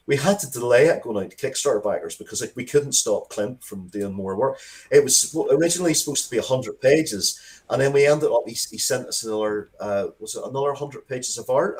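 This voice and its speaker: A man's voice: mid-range voice, animated, brisk, darkish tone. A man chatting casually as an interview guest, rambling and often breaking off to restart his sentences. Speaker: interview guest, comics and game writer.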